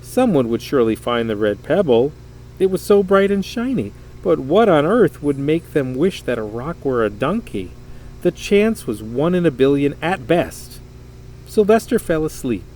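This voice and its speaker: A man reading a children's story aloud in an expressive, dramatic, emphatic way. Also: a little high, moderate pace, a little hiss or hum, poor audio. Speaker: storybook reader.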